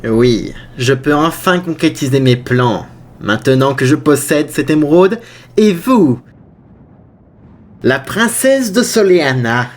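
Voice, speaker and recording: A man's voice: a little high, expressive, slow. A man playing a scheming, grandiose mad scientist bent on world conquest in a cartoon fan dub, pompous and menacing. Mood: gloating, menacing.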